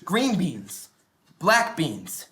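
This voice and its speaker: A woman's voice: fairly low pitch, very animated, extremely slow, fairly bright tone, exaggerated voice. A woman playing a cocky young vegan from Baltimore in a sitcom read on stage. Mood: smug, instructing. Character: cocky young mixed-race vegan from Baltimore, streetwise teacher. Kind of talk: sitcom table read before live audience. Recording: roomy stage sound.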